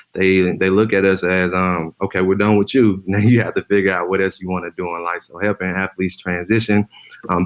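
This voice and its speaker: Former pro football player on a panel, young man, deep monotone, earnest run-on delivery. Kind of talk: panel self-introduction.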